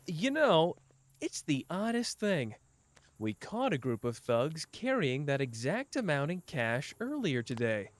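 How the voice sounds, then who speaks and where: A man's voice: a little high, a little slow, no echo. A man playing a teasing young detective. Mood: calm questioning. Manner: playful, teasing, jumps between soft and excited. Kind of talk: ASMR roleplay.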